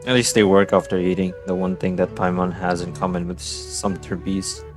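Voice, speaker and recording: A man's voice: sits low, flat delivery, moderate pace, music in the background. A young man casually commenting on a game he is playing, with dry, sarcastic asides. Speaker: gaming streamer.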